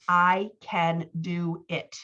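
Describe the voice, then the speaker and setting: A woman's voice: fairly low pitch, flat delivery, extremely slow, bright, forceful tone, full character voice. A woman voicing a stubborn, impatient preteen girl from a story in short, staccato phrases, on slightly dull audio. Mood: impatient, independent.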